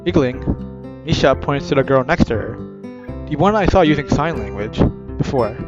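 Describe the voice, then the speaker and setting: A man's voice: mid-range voice, lively intonation, moderate pace. A man casually reading a visual novel aloud, chatting and correcting himself as he goes. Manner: self-correcting, chatty asides. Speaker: gaming video creator. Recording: dull sound with guitar music.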